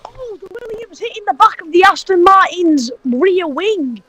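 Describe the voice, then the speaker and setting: A man co-commentating a sim race, eager and chatty, rushing and restarting his words. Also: extremely high pitch, lively intonation, bright, forceful tone.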